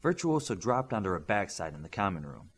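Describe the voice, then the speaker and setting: A man reads an adventure story as an audiobook narrator. His voice is mid-pitched and a little rough-edged, with lively swings in pitch as the story unfolds. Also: fairly quick. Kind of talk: scripted fiction narration.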